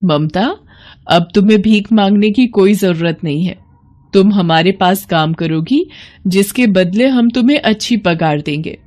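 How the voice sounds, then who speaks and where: A woman voicing a rich man's kindly wife in a moral story cartoon, gentle and earnest. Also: medium pitch, a little slow, close to an everyday voice.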